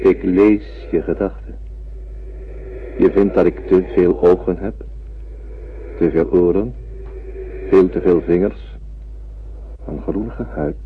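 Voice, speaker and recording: Deep, slow old alien giant. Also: male voice, steady tone. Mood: gentle, measured. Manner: slow, measured, solemn. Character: huge old telepathic alien, three metres tall, gentle and wise. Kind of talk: radio drama acting. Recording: narrow lo-fi old radio recording, faint noise.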